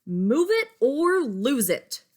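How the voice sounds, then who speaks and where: A woman's voice: high voice, big pitch swings, takes it slowly, a little mellow, voice pushed up, full character voice. A woman voicing a strict, monstrous female schoolteacher from a storybook, stern and commanding. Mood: angry roaring.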